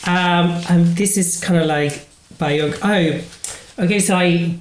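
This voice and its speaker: A man with a British accent hosting a casual podcast interview, talking in a halting, self-deprecating way with many restarts. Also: high-pitched, steady tone, roomy sound, slight background noise. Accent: British English. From Spain. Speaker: podcast host.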